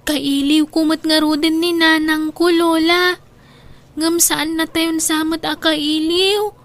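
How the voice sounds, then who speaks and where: A woman's voice: very high voice, little pitch movement, slow, a bit bright, exaggerated voice. A woman playing an innocent young granddaughter who misses her mother, childlike and plaintive in a radio drama. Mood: wistful.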